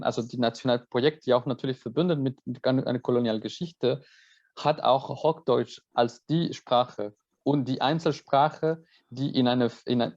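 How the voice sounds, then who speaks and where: A man's voice: mid-range voice, darkish tone, highs cut off. Hesitant male festival host. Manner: hesitant, many fillers and restarts, switches languages. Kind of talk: casual moderated talk.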